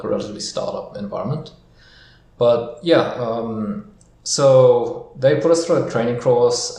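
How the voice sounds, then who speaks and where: Man from sri lanka and singapore, podcast guest, relaxed talk with fillers, lowish voice, echoey room with faint hiss. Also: gravelly. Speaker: guest, equity research founder. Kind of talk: casual interview answers.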